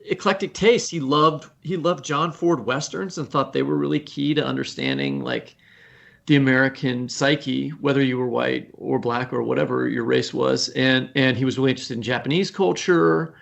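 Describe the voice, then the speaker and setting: A man's voice: mid-range voice, normal speed, gravelly, dull audio. A man speaking as a podcast guest, a writing teacher telling anecdotes in an informal, self-deprecating, salty way. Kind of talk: casual interview chat, anecdotal.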